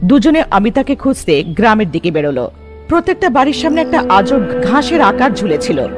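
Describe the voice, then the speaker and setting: Male cartoon narrator, extremely high pitch, animated intonation, dramatic storytelling. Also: brisk, music in the background.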